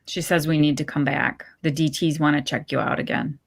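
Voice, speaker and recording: A woman reads as a young girl, the supportive best friend in a storybook tale. Her voice is notably low. She sounds casual, understated and matter-of-fact. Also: fairly even intonation, a little fast, creaky. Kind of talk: story reading, dialogue part.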